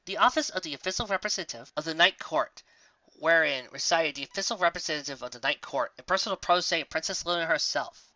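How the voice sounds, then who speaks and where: A reader narrating a scripted fantasy story in a theatrical, expressive way. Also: medium pitch, fast talker, highs cut off. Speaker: audiobook reader. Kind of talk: scripted narration of fan fiction story, dramatic.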